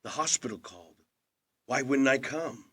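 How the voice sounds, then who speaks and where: An actor playing an aging movie star in an audio drama, a gentle, wry and understated older brother. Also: male voice, mid-range voice, expressive, normal speed, slightly rough, no room sound, studio quality. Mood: gentle, apologetic.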